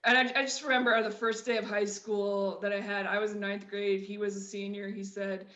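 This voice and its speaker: A woman's voice: medium pitch, little pitch movement, brisk, a bit bright, highs cut off. A middle-aged woman from the US Midwest with a Midwestern accent, telling her own story candidly as a podcast guest, with frequent restarts. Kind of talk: personal storytelling in a conversation. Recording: roomy echo.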